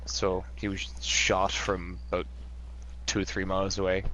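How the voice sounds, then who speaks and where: A man playing his character in a tabletop game, calm and plainspoken. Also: deep voice, a little slow, slight background noise, poor audio. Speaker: player.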